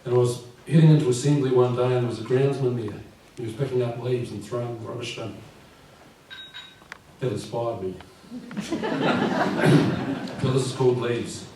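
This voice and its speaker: A man in his fifties with a croaky voice, reading his poems at a live event and speaking gently and unhurriedly. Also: medium pitch, moderate pace, long pauses, very noisy. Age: 50s. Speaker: poet. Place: live venue with audience. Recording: echoey room from far off.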